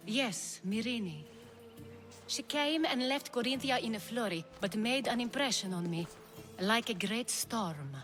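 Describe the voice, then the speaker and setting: A woman's voice: a little high, lively intonation, measured pace, fairly bright tone, faint music behind. An actress playing a proud, commanding female leader in a video game, with a poised, dramatic delivery. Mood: reflective. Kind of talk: video game cutscene acting.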